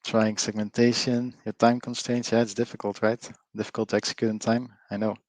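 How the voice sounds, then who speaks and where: A middle-aged man with a Flemish Belgian accent presents a webinar in a lowish voice. He is chatty, peppers his talk with fillers and keeps asking his audience to join in. The sound is dry and close. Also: little pitch movement, brisk, darkish tone, dry recording, rough recording. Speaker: webinar presenter, company co-founder. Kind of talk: live online presentation.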